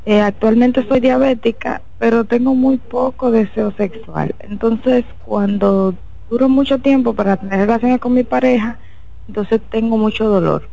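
A woman's voice: on the high side, normal speed, vocal fry, mellow. A woman in her twenties calling into a radio show, describing her symptoms hesitantly over a phone line. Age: 20s. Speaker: caller.

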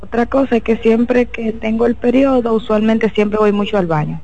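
Female caller, mid-twenties, hesitant, gravelly voice through a dull phone line. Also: fairly high pitch, fairly even intonation, normal speed, warm tone. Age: 20s.

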